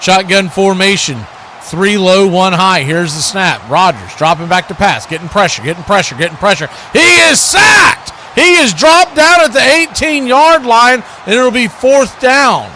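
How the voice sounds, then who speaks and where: A man's voice: high-pitched, lively intonation, unhurried, sharp tone, slight background noise. A man calling live football play-by-play as a sportscaster.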